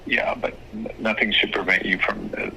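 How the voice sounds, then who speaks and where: A man speaking as an attorney, giving advice on a phone call in a measured, hesitant, explanatory way. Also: fairly low pitch, level tone, pushed and bright. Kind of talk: phone consultation, casual advice. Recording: phone call with faint noise.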